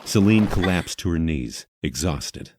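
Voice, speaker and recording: A man's voice: deep voice, lively intonation, a little slow, noisy background. A man reading dramatic scripted fantasy narration as a storyteller.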